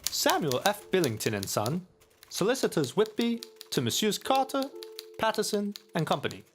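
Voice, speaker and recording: A man's voice: high-pitched, wildly swooping pitch, normal speed, music in the background. A man playing a Victorian English solicitor, dictating a business letter in a formal, clipped and officious manner. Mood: businesslike. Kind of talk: dramatic audio drama letter reading.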